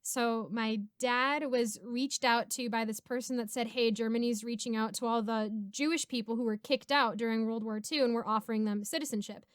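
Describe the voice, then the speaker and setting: A woman's voice: fairly high pitch, steady tone, normal speed, few pauses, smooth, dry recording, studio quality. A giggly, chatty woman with an American accent, casually answering fan questions as host. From United States. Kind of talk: casual Q&A chat.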